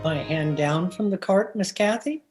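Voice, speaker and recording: A man acting a snobbish, refined young gentleman suitor in an old-time radio drama, haughty and genteel. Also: high voice, a little slow, faint music behind. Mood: polite.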